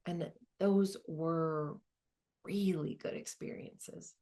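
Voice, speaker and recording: A woman's voice: low-pitched, fairly even intonation, takes it slowly, warm tone. A woman playing a reflective, wry lawyer in a conversational stage monologue about her own life. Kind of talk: dramatized first-person monologue, conversational.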